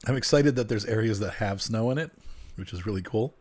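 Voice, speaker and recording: Congested, nasal man chatting low. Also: fairly quick, a little mellow. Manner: chatty, muttering to himself while working. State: a little congested. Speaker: streamer playing a train game. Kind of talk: casual gameplay chat. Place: at home. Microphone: desk microphone turned up.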